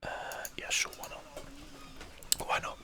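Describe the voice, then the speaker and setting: Southern English male, bright breathy whisper, relaxed chatty ASMR game host thinking aloud. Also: slow pace. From southern England, UK. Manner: casual, chatty, musing aloud. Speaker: host of an ASMR gaming channel.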